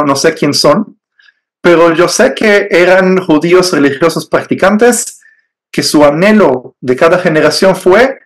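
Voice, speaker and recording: A man from Israel whose first language is Hebrew, speaking in a measured, hesitant way with fillers as an interview guest telling his faith story. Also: on the high side. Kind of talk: personal faith testimony.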